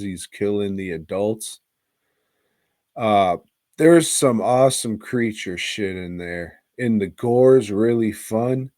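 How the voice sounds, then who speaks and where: A man's voice: lowish voice, a little mellow, no room sound, clean studio sound. A man hosting a casual movie review podcast, talking in a crude, slangy, rambling way with plenty of swearing.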